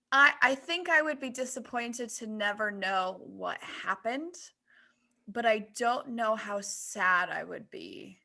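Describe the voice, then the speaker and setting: A woman co-hosting a podcast in relaxed, casual group conversation. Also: a little high, slow pace, creaky. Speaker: podcast co-host.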